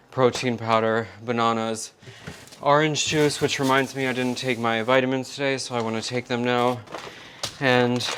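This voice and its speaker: A man's voice: medium pitch, a bit bright, slight background noise. A man chatting casually and rambling a bit as he vlogs his day. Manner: chatty, rambling, self-talking. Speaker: vlogger. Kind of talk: casual vlog chat.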